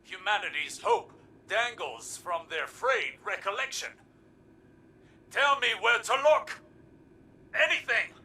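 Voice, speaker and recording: An actor playing a brash, impatient warrior-scholar in a video game, speaking in an intense, commanding, accusatory way. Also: male voice, fairly high pitch, expressive, unhurried, sharp tone, far from the mic, slight background noise, exaggerated voice. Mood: urgent, demanding. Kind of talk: scripted video game dialogue.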